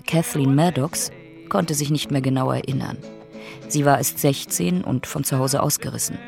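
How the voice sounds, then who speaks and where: A man's voice: mid-range voice, vocal fry, darkish tone. A man narrating a documentary radio drama from a script.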